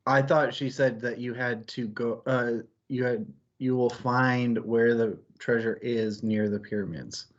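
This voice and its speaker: A man's voice: mid-range voice, steady tone, a little slow, dull audio. A man co-hosting a casual book discussion, talking in a reflective, analytical and wordy way with digressions. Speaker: co-host of a book club stream.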